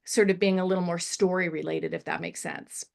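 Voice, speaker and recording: Woman giving halting, emphatic story notes. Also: on the low side, fairly even intonation, vocal fry. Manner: halting, emphatic, illustrating with examples. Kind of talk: spoken feedback in a panel discussion.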